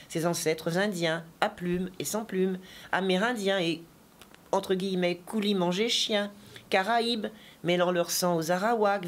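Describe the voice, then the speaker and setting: A woman poet and teacher giving an interview, telling stories with many asides and then reading her poetry slowly and deliberately. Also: mid-range voice. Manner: digressive, chatty storytelling with asides; slow, deliberate poetry recitation.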